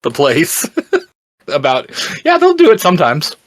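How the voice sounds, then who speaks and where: A man speaking as a podcast guest, explaining things casually and haltingly as he searches for words. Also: a little high, wildly swooping pitch, no room sound, studio quality. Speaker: podcast guest and fan explaining a book series.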